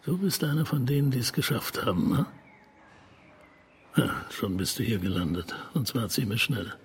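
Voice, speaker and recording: Man playing a cynical male alcoholic, gravelly mid-range voice, chummy and probing, colloquial, radio play with faint birdsong and ambience behind. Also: mid-range voice, expressive, moderate pace, a little hiss or hum, close to an everyday voice. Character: talkative, cynical East German alcoholic man in clinic. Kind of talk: radio drama acting.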